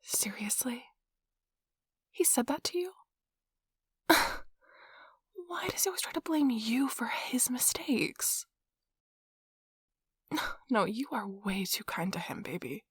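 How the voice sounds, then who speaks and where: A woman playing an affectionate, playful, nerdy young girlfriend in an intimate, soft-spoken ASMR role-play, with a breathy, whispery voice and a tender, teasing manner. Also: fairly high pitch, animated, slow pace, long pauses, dry recording, clean studio sound, exaggerated voice. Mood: indignant, protective.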